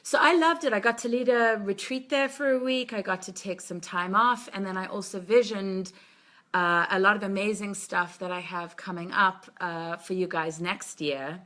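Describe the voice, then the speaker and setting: Bright, upbeat woman guest. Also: mid-range voice, moderate pace. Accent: non-local accent, commented on by viewers. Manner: upbeat, enthusiastic, laughs often. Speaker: tantra teacher and coach, guest. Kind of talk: casual chat. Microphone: webcam or laptop mic on a live stream.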